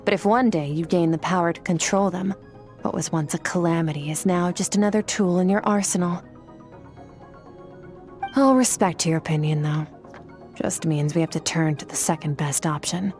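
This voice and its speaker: Woman voicing an icy game mentor, lowish voice, curt and all business. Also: normal speed, darkish tone, soft background music, lightly acted. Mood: explaining. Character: aloof, cool-headed woman mentor from the Abyss. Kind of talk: video game voice acting.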